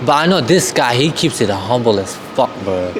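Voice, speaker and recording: A man's voice: medium pitch, lively intonation, normal speed, noisy background. A man hosting a casual podcast, speaking emphatically with slang, swearing and repeated words. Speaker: podcast host.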